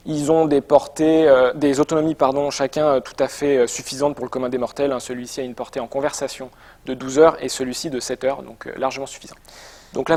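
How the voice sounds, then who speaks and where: A man's voice: medium pitch, darkish tone. A man presenting products in an informal, semi-improvised demonstration, explaining in a conversational and somewhat hesitant way. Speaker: product presenter for a motorcycle gear shop.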